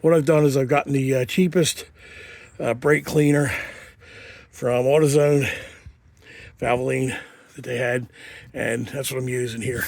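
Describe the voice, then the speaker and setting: Everyday man, mid pitch, sniffly cold, rambling DIY vlog voice, unscripted. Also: steady tone, measured pace, creaky, pauses often, darkish tone, no room sound. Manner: rambling, unpolished, with many fillers and restarts. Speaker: home mechanic. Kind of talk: casual garage how-to. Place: outdoors, cold.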